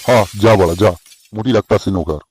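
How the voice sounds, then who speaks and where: A man's voice: fairly low pitch, rapid-fire, darkish tone, husky. A man voicing an irritable, crude middle-aged village father in a comic cartoon, scolding bluntly with a West Bengal accent. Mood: gruff, scolding.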